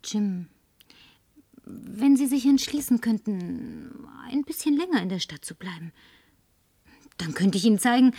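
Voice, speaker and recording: Lonely tipsy flirt, all breathy whispers, coaxy and pushy, dry booth sound. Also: female voice, a little high, a little mellow, no room sound. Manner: coaxing, seductive, at times demanding. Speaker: advertising agency woman. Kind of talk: German film dub.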